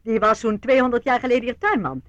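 Woman acting elderly titled aunt, highish animated voice, grand, chatty, eccentric, blithely macabre, radio play, dry studio sound. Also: fast, no echo, muffled recording, natural voice. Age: older. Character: eccentric, breezy aristocratic Scottish lady, an elderly aunt.

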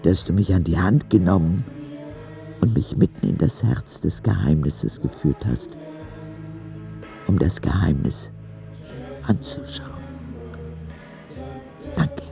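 A sick little boy in a radio play, wondering, slow n gravelly, music underneath. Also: deep voice, dull audio, exaggerated voice. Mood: tender, hopeful. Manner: slow, reflective, with expressive swings. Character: dying ten-year-old boy writing candid, wondering letters to God. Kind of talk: intimate dramatic reading of letters.

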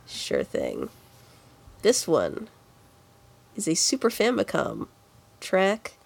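A woman's voice: lowish voice, wildly swooping pitch, takes it slowly, creaky, breathy edge. A woman with a congested, nasal voice co-hosting a podcast in a casual, chatty style. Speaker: podcast co-host. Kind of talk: casual video game music podcast chat.

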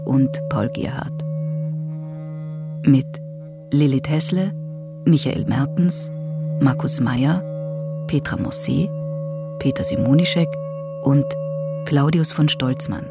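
A female announcer reads radio play credits very slowly, with a creaky edge to her voice. Ringing music sounds clearly behind her. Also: extremely low pitch. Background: ringing tonal music.

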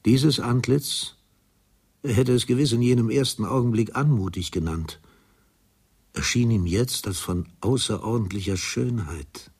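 A man narrating a literary radio drama in a husky, measured, grave manner. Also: a little mellow. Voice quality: deep, husky.

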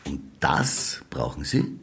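An actor playing a skeptical, dry police inspector, curt and probing, in a radio drama. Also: male voice, extremely low pitch, very animated, slow, vocal fry, music in the background, full character voice.

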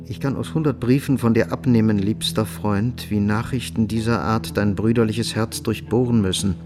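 An actor playing a scheming younger son in a German radio drama, theatrical, insinuating and falsely devout. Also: male voice, on the low side, steady tone, moderate pace, over music, close to an everyday voice. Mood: ominous, insinuating. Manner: theatrical, insinuating, savoring sarcasm.

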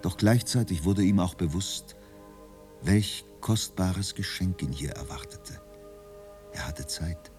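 A man narrating a literary radio drama as a reflective storyteller, in a measured, contemplative way. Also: sits low, darkish tone, soft background music. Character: reflective male storyteller of a dreamy novel.

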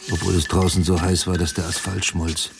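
A radio drama monologue over clear music. a troubled, wounded man with a deep, fry-laden voice. He tells things in short, broken fragments. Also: little pitch movement, fairly quick. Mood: vulnerable. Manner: terse, fragmentary first-person narration. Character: troubled man Clermont, humiliated and grieving, recounting events. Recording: music under the voice.

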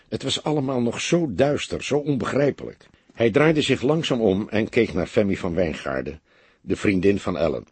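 A very husky man narrates a detective story in a fairly low voice. His scripted delivery is dramatic, with lively rises and falls, as befits an audiobook. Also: dry recording.

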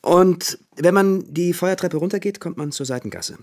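A man's voice: sits high, moderate pace, a little mellow. An actor playing an earnest, frustrated but obliging bookish young man in a naturalistic radio drama, moving between muttering and emphatic speech.

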